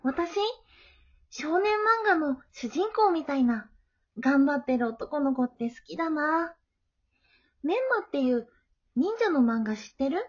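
A woman playing a gentle, studious middle-school girl in an amateur voice drama, friendly and polite. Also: sits high, sing-song, unhurried, highs cut off. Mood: friendly, kind.

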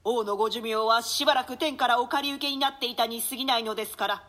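Woman as a bright, earnest young nobleman. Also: on the high side, fast, natural voice. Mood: earnest consoling. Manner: formal, polite, reasoning. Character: dutiful young nobleman, gently admonishing his mother. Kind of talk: theatrical classical Japanese play reading.

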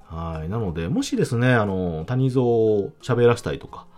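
A man with a Kansai accent hosting a casual solo talk podcast, chatting in an animated, emphatic way. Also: lowish voice, moderate pace. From Kansai, Japan. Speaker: podcast host. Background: background music.

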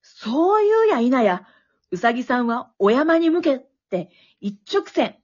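A woman playing an excitable, wisecracking storyteller, narrating a comic radio drama like play-by-play with dramatic flair. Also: high-pitched, measured pace, slightly rough, heavily acted. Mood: excited. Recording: dull, lo-fi recording.

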